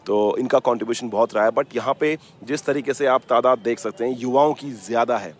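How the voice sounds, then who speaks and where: A man's voice: medium pitch, a little fast. A man hosting live event coverage for radio, mixing in English, with hesitant, effusive presenter patter. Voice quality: mixes English phrases into Hindi. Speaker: radio station host and interviewer at an expo. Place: busy expo grounds.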